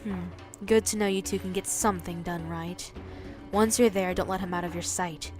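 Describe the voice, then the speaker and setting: A woman's voice: fairly low pitch, steady tone, moderate pace, faint music behind, lightly acted. Woman acting a cold, bossy conspirator boss. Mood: cool, calculating. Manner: scolding, commanding, condescending. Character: cold, bossy female conspirator commanding two bungling underlings. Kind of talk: dramatic voice acting in audio drama.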